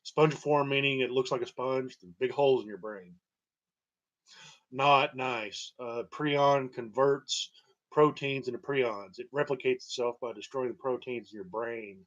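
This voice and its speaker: Male storyteller, middle pitch, digressive and wry with uneven emphasis; muffled, low-fidelity recording. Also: steady tone. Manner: halting, digressive, wry, chuckling at grim details. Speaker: storyteller and host. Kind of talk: rambling personal monologue about own medical history.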